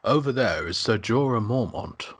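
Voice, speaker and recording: An obsequious fantasy magister, played by a man at a table read, deferential and flattering. His voice is husky and swings expressively up and down, recorded close and dry. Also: mid-range voice, moderate pace, no echo. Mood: ingratiating. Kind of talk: amateur script table read.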